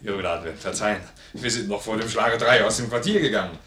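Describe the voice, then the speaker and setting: A stiff, dutiful army sergeant in a 1950s radio play. His lowish voice is very quick and wooden in its military formality, echoing in the vintage recording. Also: pushed and bright, a little hiss or hum.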